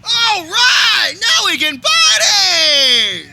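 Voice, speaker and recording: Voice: very high-pitched, wildly swooping pitch, very slow, creaky, shouting, exaggerated voice. A performer playing a bossy, exasperated, impatient teenage boy in a live outdoor comedy radio play. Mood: excited. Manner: commanding, exclamatory, impatient. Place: backyard, before a live audience. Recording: live outdoor recording, faint background noise.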